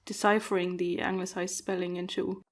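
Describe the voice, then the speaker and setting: A woman's voice: fairly low pitch, flat delivery, moderate pace. Hesitant woman, non-native English speaker. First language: not English. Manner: thoughtful, hesitant with many fillers and laughs. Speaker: podcast host. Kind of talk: casual conversation.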